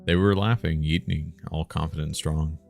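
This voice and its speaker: A man voicing a humble, helpful villager from a fantasy game, halting and plain-spoken. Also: rumbling low, fairly even intonation, gravelly, a little mellow. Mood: earnest. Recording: music behind the voice.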